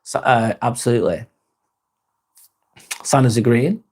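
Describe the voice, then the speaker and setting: Man, mid-pitched, rambling live-stream host, laid-back, swears casually. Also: a little slow, slightly rough. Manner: relaxed, rambling, frequent restarts and swearing. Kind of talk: casual live chat reading comments.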